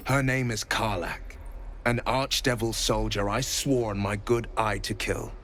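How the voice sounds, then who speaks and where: An actor playing a noble young hero sworn to hunt a devil, earnest, courteous and gallant. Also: male voice, on the low side, steady tone, measured pace, fairly bright tone, a little hiss or hum. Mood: determined. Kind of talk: video game dialogue. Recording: faint music behind.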